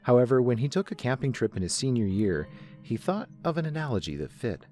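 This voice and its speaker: A man's voice: mid-range voice, big pitch swings, a little fast, darkish tone. A man narrating a scripted story as a reader, setting the scene between characters' dialogue. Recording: music under the voice.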